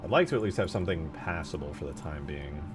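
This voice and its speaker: A man with a deep voice talks casually through his game modding work. He is low-key, half muttering to himself as he thinks aloud. Music plays clearly behind him. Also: expressive, quick pace. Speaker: game modder. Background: clear background music.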